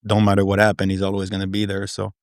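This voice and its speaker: A man's voice: deep voice, completely flat delivery, fast talker, no room sound, clean studio sound. A French Canadian man whose first language is French, talking candidly as a guest in a casual interview. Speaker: podcast guest.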